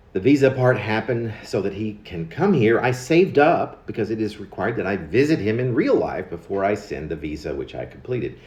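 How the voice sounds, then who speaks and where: A man's voice: on the low side, darkish tone, roomy sound, muffled recording. An American man in his late fifties reading his own rambling autobiographical poem in a wry, digressive manner, often repeating words. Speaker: poet reading own work.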